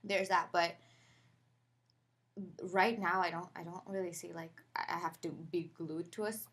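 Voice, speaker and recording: A woman from Pakistan, a podcast guest, talking casually and thoughtfully with many pauses, fillers and restarts. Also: fairly low pitch, fairly even intonation, a bit bright. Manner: thoughtful, halting, lots of "like" and restarts. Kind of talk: casual conversational interview.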